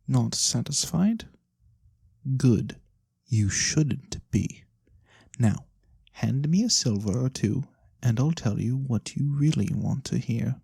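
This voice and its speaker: An ASMR roleplayer voicing a sly, mysterious lorekeeper who tells fantasy tales for coin, soft-spoken, breathy and theatrical, with deliberate pauses. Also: mid-range voice, no room sound, clean studio sound, heavily acted. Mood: teasing, ominous. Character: sly mysterious nonhuman lorekeeper, fortune-telling storyteller for coin.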